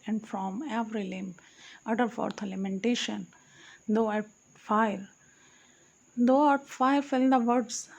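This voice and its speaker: Female audiobook narrator, higher-pitched, solemn recital of archaic verse with self-corrections. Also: a little mellow. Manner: solemn recitation, correcting her own slips. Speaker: audiobook reader. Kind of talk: reading archaic poetry aloud.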